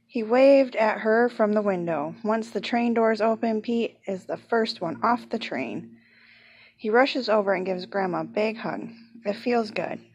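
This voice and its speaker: A woman reading a children's picture book aloud in an expressive storytelling manner. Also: medium pitch, measured pace, dull audio. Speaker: storybook reader.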